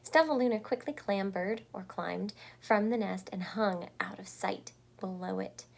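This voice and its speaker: Slow-paced woman reading a children's story. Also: mid-range voice, vocal fry. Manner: deliberate phrasing, dramatic pauses. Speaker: storyteller reading a picture book aloud. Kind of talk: expressive read-aloud for children, with asides explaining words.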